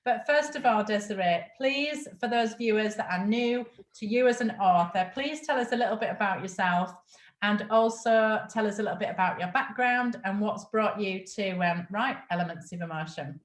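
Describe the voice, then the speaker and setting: A woman hosting a live online show, gushing warmly about a product and introducing her guest. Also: medium pitch, normal speed, echoey room. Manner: gushing, promotional. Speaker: host.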